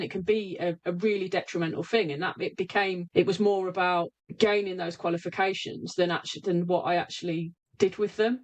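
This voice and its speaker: A woman, a hypnotherapist guest on a remote podcast, talking candidly and often restarting her sentences. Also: on the low side. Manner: candid, self-correcting, frequent restarts. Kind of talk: reflective interview talk. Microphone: remote call recording.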